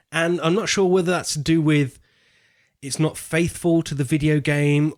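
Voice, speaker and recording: A man co-hosting a film podcast, talking in an informal, slangy, conversational way. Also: fairly high pitch. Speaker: podcast co-host. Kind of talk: casual film podcast chat.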